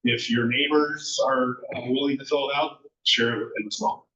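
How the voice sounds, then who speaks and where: A man's voice: medium pitch, steady tone, normal speed, sharp tone, slight background noise. A man giving announcements at a neighborhood meeting, unscripted and full of fillers. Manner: unscripted, many fillers and restarts. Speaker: neighborhood association officer. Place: large echoey school meeting room. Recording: echoey, distant, muffled room audio.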